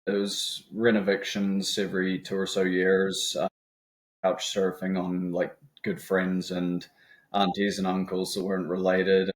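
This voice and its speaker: A man in his thirties with a New Zealand accent, a guest talking in a reflective, measured way in a casual interview. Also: sits low, completely flat delivery. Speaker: guest, company co-founder.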